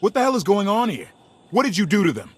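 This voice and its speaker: A man's voice: high voice, huge pitch swings, fast, background noise. An actor playing a frank, loyal deserter captain in a video game cutscene, casual and earnest in his delivery. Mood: irritated. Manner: casual, earnest, plain-spoken. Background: music.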